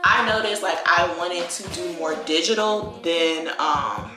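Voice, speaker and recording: Chatty young woman in an echoey room. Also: lowish voice. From Virginia, USA. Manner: chatty, drawn-out phrases, lots of likes and y'all. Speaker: beauty vlogger. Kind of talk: casual get-ready-with-me chat. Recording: echoey room with faint music.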